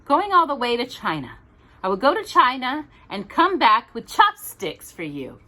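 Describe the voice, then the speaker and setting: A woman's voice: on the high side, huge pitch swings, moderate pace, bright, forceful tone, voice pushed up, full character voice. A woman reading a children's storybook, voicing an imaginative, playful little boy bear cub who exclaims in wide-eyed earnest. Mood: wishful.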